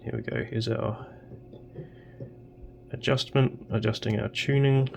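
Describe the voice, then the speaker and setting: A man narrating casually and haltingly as he tinkers at a workbench, thinking aloud. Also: mid-range voice, steady tone, creaky, muffled recording. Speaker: host of electronics tinkering videos.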